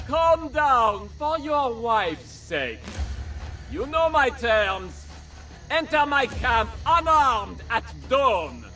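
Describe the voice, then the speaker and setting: An actor playing a haughty, sneering French baron, a condescending villain with a French accent, in a video game cutscene. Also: male voice, very high-pitched, big pitch swings, drawn-out words, pushed and bright, exaggerated voice. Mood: smug. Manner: condescending, drawling. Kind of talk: dramatic game cutscene acting.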